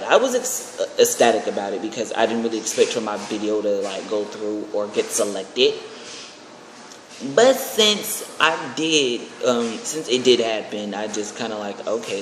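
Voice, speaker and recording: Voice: medium pitch, normal speed. Young adult vlogger with a mid-range voice, casual and rambling, recorded in a very reverberant, noisy room. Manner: rambling, excitable. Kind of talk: casual chat to camera. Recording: echoey room with clear background noise.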